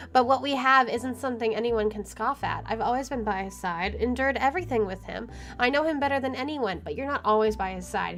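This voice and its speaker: A woman's voice: a little high, fairly bright tone. A woman hosting a gaming video, reading story text aloud and adding casual commentary.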